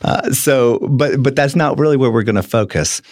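A man's voice: medium pitch, moderate pace, darkish tone, dry recording. A middle-aged man co-hosting a casual studio talk show, earnest, often restarting his sentences and laughing easily. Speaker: co-host. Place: radio studio.

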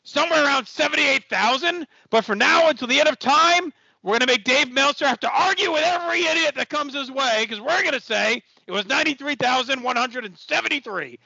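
A man playing a brash, boastful, manic wrestling boss in a comedic audio play, with a strained gravelly growl and bombastic, shouted emphasis. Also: very high-pitched, fairly quick, dry recording, dull audio, heavily acted.

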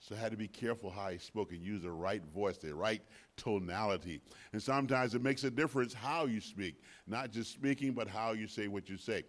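An older man in his sixties, deep and low-pitched, delivers a sermon as a visiting pastor. He leans on repetition and swings between soft and loud passages. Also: a little fast, hardly any pauses. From United States. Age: 60s. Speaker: guest pastor. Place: church sanctuary.

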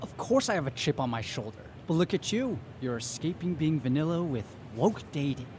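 A woman's voice: deep voice, lively intonation, fairly quick, a little mellow, slight background noise. A woman playing a sardonic, irresponsible young male roommate in a naturalistic comedy audio drama. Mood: confrontational.

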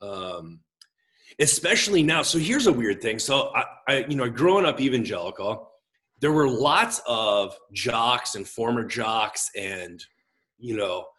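A man in his forties with a big voice, telling his own story as a pastor and guest in an expressive, gregarious, halting conversational way. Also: medium pitch. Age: 40s. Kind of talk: reflective personal storytelling in conversation.

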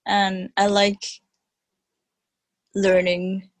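Japanese-accented woman guest, very slow, halting, dry sound. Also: mid-range voice, completely flat delivery, no echo. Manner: halting, giggly, many fillers. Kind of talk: casual interview chat.

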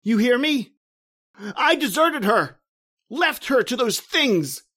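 A man's voice: very high voice, big pitch swings, takes it slowly, heavily acted. Male actor for a ghostly narrator, old radio drama, intense and desperate. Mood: panicked. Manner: intense, rising to panicked shouting. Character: terrified man narrating his own death.

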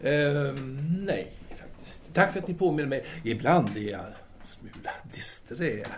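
A man's voice: mid-range voice, animated, slight background noise, lightly acted. A man playing a self-absorbed intellectual philosopher in an audio drama, speaking formally and hesitantly, wordy and absent-minded. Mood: absent-minded, mild.

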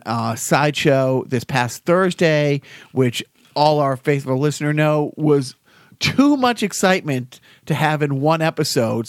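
A man's voice: a little high, dry recording, studio quality. A man co-hosting a comedy podcast, joking and rambling in casual chat. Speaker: podcast co-host.

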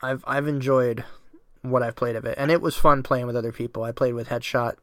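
A man's voice: medium pitch, steady tone, fairly quick, fairly bright tone, no echo, clean studio sound. A man co-hosting a gaming podcast, chatting with enthusiasm and swearing casually. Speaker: podcast co-host.

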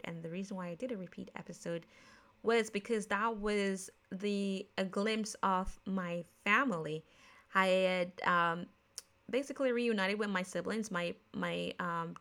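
A woman's voice: mid-range voice, bright, forceful tone. A woman from Jamaica with a Jamaican accent mixed with American speech, hosting her own podcast in a chatty, casual way, with many fillers and restarts and laughing at herself. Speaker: podcast host. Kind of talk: casual solo podcast talk on investing.

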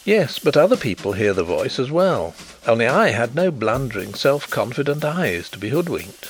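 A suave, genial blind gentleman from a storybook, acted by a man. He sounds quietly thoughtful and self-assured. His pitch moves freely, with some creak in the voice, over faint music. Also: sing-song, normal speed, low-quality recording. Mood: calm, wry.

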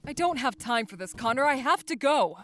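A woman's voice: high voice, lively intonation, a little fast, faint music behind. A woman playing a dutiful, resolute soldier in an audio drama, speaking with urgent insistence. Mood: urgent, firm.

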